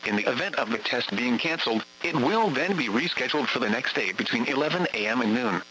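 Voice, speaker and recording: A man reading a scripted weather radio test announcement in a measured, formal way. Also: mid-range voice, expressive, sharp tone, slight background noise, poor audio.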